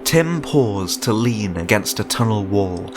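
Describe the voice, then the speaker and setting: A man's voice: lowish voice, animated, slow pace. A man reading a scripted horror story as narrator, in a dramatic storytelling style. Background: music.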